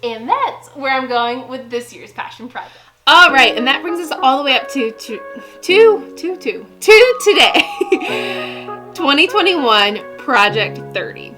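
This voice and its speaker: A woman in her late twenties chats to camera in a personal vlog, upbeat and quick to laugh. Her voice sits a little high for a woman. Music plays clearly underneath her. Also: lively intonation. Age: 20s. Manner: chatty and upbeat, laughs often. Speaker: vlogger.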